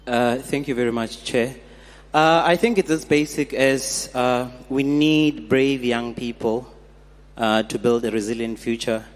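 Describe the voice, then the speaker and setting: A man's voice: medium pitch, a little slow. A man from South Africa giving earnest remarks from the floor at a conference, with frequent fillers. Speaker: conference delegate. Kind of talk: audience remarks. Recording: hall reverb.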